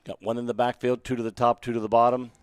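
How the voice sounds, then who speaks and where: A hoarse man from the American Midwest with a Midwestern accent, calling amateur college football play-by-play at a stadium, loud and excited on big plays and joking between them. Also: mid-range voice, fast talker, no echo, studio quality. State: losing his voice, hoarse. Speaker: play-by-play announcer. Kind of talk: amateur live football commentary.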